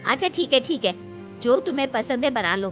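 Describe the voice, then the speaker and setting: A woman's voice: high-pitched, very animated, a little fast, music in the background, voice pushed up. A woman voicing a fussy, grumbling older mother-in-law in an exclamatory cartoon story style. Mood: grudging. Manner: exclamatory, scolding. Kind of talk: cartoon moral-story acting.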